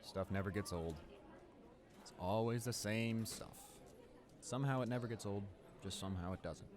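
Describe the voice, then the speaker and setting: A young man playing a friendly, easily flustered high school senior in a roleplay audio drama, with an awkward, rambling, hesitant way of talking. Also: moderate pace, crowd noise, natural voice. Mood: grumbling, resigned. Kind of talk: roleplay audio drama, casual conversational acting.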